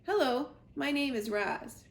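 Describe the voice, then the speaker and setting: A woman's voice: sits high, animated, a little slow, vocal fry, echoey room, pitched above the performer's own voice, heavily acted. A woman voicing a bossy, jumpy male owl who screeches his announcements to a crowd of animals. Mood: telling.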